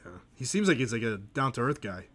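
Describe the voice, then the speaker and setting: A male podcast host asks questions in a casual, friendly way. He talks quickly and keeps the conversation moving. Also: medium pitch, sing-song, a bit bright. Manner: friendly, interested, quick affirmations. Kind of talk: casual interview.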